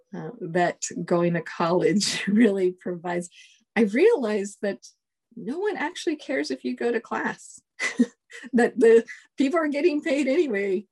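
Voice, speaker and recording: A woman in her forties whose first language is Spanish, a colonel telling stories in a casual interview, relaxed and chuckling often. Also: medium pitch. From United States. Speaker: guest, Air Force colonel.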